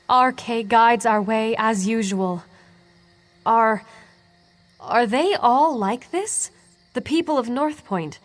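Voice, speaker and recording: Solemn, higher-voiced devout woman. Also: fairly bright tone, no room sound. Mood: worried. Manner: earnest, solemn. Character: devout, grave noblewoman loyal to her people. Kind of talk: video game character acting.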